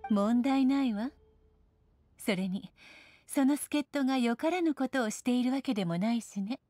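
A woman's voice: a little high, lively intonation, normal speed, mellow, no room sound. Poised lady judge, close dry sound. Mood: composed. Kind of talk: Japanese anime game voice acting.

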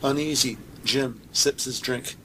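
A man's voice: medium pitch, a little slow, a bit bright. A man narrating an audio drama, reading scripted scene description in the present tense. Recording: noisy, sound effects behind voice.

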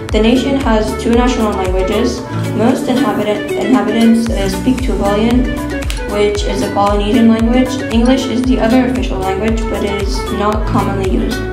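A woman student reading a school report steadily, with small stumbles. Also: mid-range voice. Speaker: student presenter. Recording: roomy echo with loud music.

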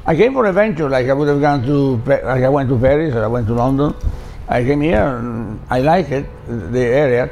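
An older man with an Italian accent talks in a gravelly, dark voice. He tells stories with animation, restarts often and slips into Italian words. He is a chef being interviewed in a bright room. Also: medium pitch, brisk, soft background music. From Italy. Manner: animated storyteller, repeats words, drops into Italian. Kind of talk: casual interview.

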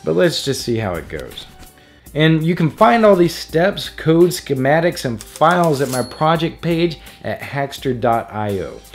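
A man's voice: on the high side, very animated, a little slow. A man narrating a scripted electronics tutorial in a playful, jokey manner. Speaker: host of an electronics DIY tutorial. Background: background music.

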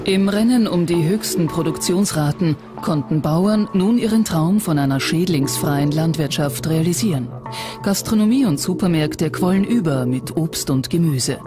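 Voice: medium pitch, lively intonation, normal speed. Documentary narrator over prominent music. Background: background music.

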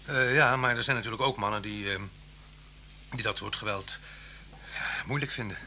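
A man's voice: fairly low pitch, moderate pace, pushed and bright. An actor playing a polite but uneasy man visiting someone, reserved and hesitant, in a naturalistic radio drama. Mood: guarded. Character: guarded, polite male guest, evasive and uneasy. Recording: noisy old radio drama recording.

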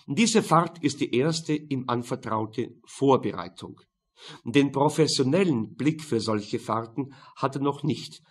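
Husky male audiobook narrator. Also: mid-range voice. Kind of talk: scripted narration of a crime novel.

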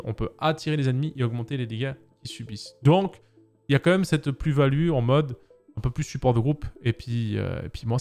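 Guy who grew up in switzerland going over game classes, chatty, fillers, studio mic, soft music under it. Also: mid-range voice. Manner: chatty, uses filler words, addresses viewers directly. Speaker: gaming content creator. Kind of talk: casual game class breakdown. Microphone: studio mic on boom arm. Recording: faint background music.

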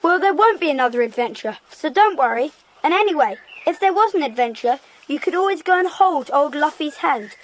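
Woman playing cheeky, very high-voiced English child. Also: lively intonation, fairly quick, few pauses, low-quality recording. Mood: dry, teasing. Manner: matter-of-fact, teasing. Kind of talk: children's audio drama acting.

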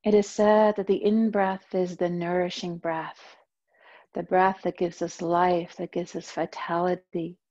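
A woman guides a breathing meditation very slowly, in a low, mellow voice. Her soothing instructions come with plenty of space between phrases. The recording sounds a little dull and lo-fi. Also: level tone. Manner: slow, soft, soothing instruction. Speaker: yoga teacher.

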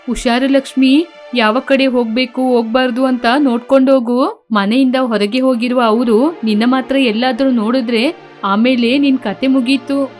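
A woman's voice: sits high, fairly even intonation, normal speed, faint music behind, close to an everyday voice. A woman playing a kind, devout and anxious village wife in an acted moral story, speaking in a caring, pleading way. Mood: worried, caring.

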